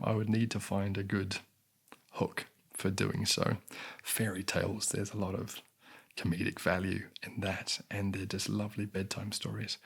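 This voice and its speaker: Soft-spoken raspy New man. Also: on the low side. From New Zealand. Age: 20s. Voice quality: raspy, losing his voice. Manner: soft-spoken, unhurried, frequent throat clearing. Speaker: ASMR channel host.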